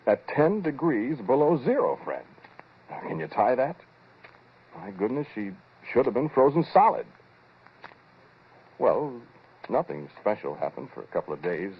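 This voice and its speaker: An actor playing an intense scientist who narrates a 1940s radio drama, talking directly and conversationally to the listener. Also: male voice, medium pitch, sing-song, a little slow, long pauses, breathy edge. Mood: agitated, then reflective. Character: intense male scientist recounting a frightening experiment. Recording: muffled, noisy 1940s radio recording.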